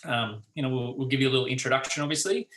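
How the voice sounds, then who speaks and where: A man's voice: mid-range voice, racing, fairly bright tone. A man hosting a talk, introducing the topic in a rambling way with many fillers. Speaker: host. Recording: echoey room, distant mic.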